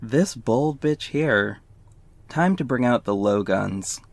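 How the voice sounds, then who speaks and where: A man's voice: mid-range voice, expressive, takes it slowly, gravelly, no echo. Man as a wry confiding narrator, close and dry. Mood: snarky, indignant. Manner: confiding, snarky asides. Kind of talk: first-person scripted narration in audio drama.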